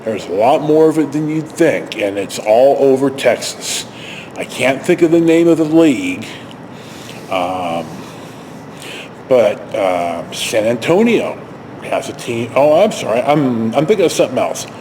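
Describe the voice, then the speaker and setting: An older, retired man telling stories casually to camera in a halting, rambling, self-correcting way. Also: medium pitch, very animated, darkish tone, a little hiss or hum. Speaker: video storyteller.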